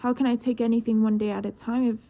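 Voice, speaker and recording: Low-key, gravelly nineteen-year-old woman musing. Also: level tone, quick pace, mellow. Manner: searching, hesitant, trails off into questions. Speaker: vlogger. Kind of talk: reflective personal monologue.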